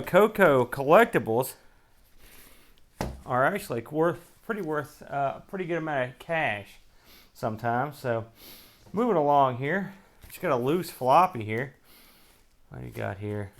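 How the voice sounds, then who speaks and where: A man's voice: medium pitch, expressive, measured pace, long pauses. A man hosting a retro gaming show, giving casual, wry unboxing commentary in folksy slang as he thinks aloud while handling items.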